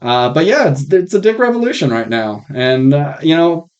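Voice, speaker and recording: A man hosting a podcast, chatting casually in an informal, frank and joking way, on slightly rough mic audio. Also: a little high, expressive, moderate pace, muffled recording. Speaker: podcast host.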